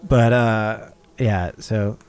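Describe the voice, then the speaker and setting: A man's voice: fairly low pitch, steady tone, very slow, darkish tone, clean tone. A man co-hosting a gaming video with casual, informal banter. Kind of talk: casual banter over a game.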